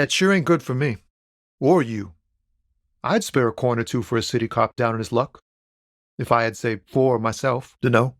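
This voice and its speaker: An actor playing a laid-back, philosophical truck driver and aspiring poet in a video game, talking in a musing, slangy way. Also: male voice, medium pitch, no echo, clean studio sound, natural voice. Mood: downcast. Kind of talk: conversational video game character dialogue.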